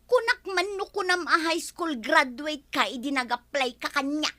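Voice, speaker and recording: A woman's voice: high voice, moderate pace, bright, forceful tone. A woman playing an irritable, bossy female schoolteacher who scolds in exasperation in an Ilocano radio comedy drama. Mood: irritated, scolding.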